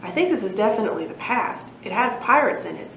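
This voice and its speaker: A woman's voice: a little high, animated, quick pace, fairly bright tone. A woman reading a storybook, voicing a bewildered, polite father lost in time, earnest, conversational and questioning. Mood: puzzled, polite. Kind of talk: storybook read-aloud. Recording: echoey room, muffled, faint noise.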